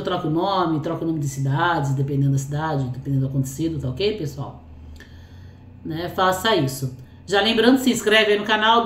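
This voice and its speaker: Hoarse chatty woman, echoey room. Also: medium pitch. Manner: chatty, fills speech with "né" and "assim". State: hoarse from a cold. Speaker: storytelling channel host. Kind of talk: reading a listener's life story aloud, with casual asides.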